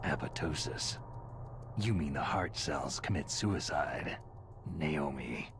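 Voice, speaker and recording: An actor playing a gruff, weary soldier hero in a video game, with a gravelly, hushed voice and a terse, brooding delivery. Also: male voice, extremely low pitch, slow, background noise. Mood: grim. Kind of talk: dramatic video game cutscene voice acting.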